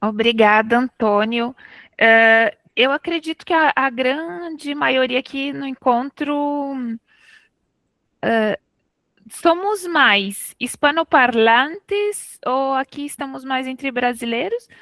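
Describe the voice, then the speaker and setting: A woman's voice: high-pitched, lively intonation, slow, fairly bright tone, dry recording. A middle-aged woman with a Chilean Spanish accent giving an informal academic talk, conversational and checking in with her listeners. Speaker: guest speaker, literature researcher.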